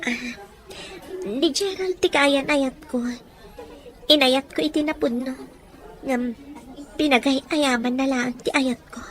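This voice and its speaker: A woman's voice: high-pitched, slow pace, pauses often, faint music behind, some chatter behind. An actress with a Filipino accent playing a young woman in an emotional drama, hot-tempered but remorseful and wounded in love. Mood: apologetic, wistful. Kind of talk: emotional audio drama acting.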